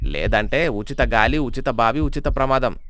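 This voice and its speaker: A man voicing a gruff garden owner who taunts slowly while driving a hard bargain. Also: mid-range voice, animated, fairly bright tone, natural voice. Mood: taunting.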